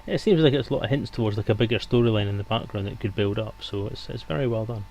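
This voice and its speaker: A man's voice: on the low side, fast, vocal fry, a little mellow. A man hosting a live stream, casually interviewing his guests.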